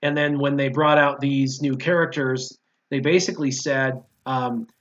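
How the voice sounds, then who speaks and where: A man's voice: medium pitch, fairly even intonation, normal speed, fairly bright tone. A man talking casually and with strong opinions as a guest in a gaming discussion, repeating his points for emphasis. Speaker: guest, former mobile game player. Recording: dull lo-fi audio.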